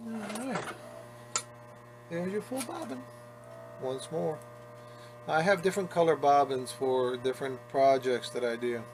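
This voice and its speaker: A man's voice: a little high, unhurried, pauses often. A man talking casually as he works on a leather restoration, chatty and self-mocking. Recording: workshop noise behind the voice.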